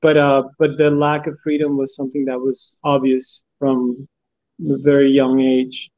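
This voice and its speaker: A man in his forties from Cuba, a guest answering interview questions in an earnest, steady way over his phone. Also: mid-range voice, normal speed, no room sound. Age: 40s. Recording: dull phone audio.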